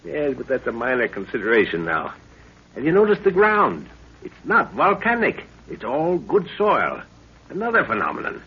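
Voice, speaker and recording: A man's voice: medium pitch, wildly swooping pitch, moderate pace, breathy edge, full character voice. An actor playing an eager, authoritative middle-aged scientist explorer and father in a 1930s radio adventure. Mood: absorbed, eager. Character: Lorna's father, excitable older scientist explorer. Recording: scratchy muffled 1930s radio recording.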